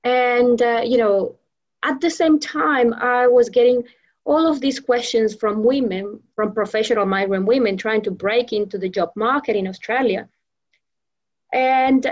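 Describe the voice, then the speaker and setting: Woman, from Venezuela, Spanish native, fairly high voice, hesitant, muffled lo-fi sound. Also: a little slow. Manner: hesitant, many fillers and restarts. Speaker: guest, professional trainer and mentor. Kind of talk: interview conversation.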